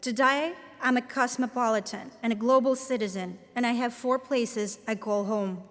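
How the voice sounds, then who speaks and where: A woman in her thirties with a Latvian accent, giving a formal commencement address in a measured, earnest, deliberate way. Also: a little high, steady tone. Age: 30s. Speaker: commencement speaker, professor. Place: large ceremony hall. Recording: reverberant hall sound.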